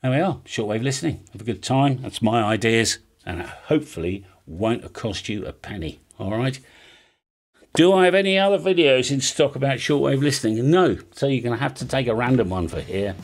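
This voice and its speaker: A man's voice: mid-range voice, animated, fairly quick. A middle-aged man giving an informal, chatty explanation, often checking in with the listener as he goes. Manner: chatty, casual, often checks in with "all right?". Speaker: host of a radio hobby channel. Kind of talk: informal explainer, talking through a hand-drawn diagram. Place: home music studio room, indoors.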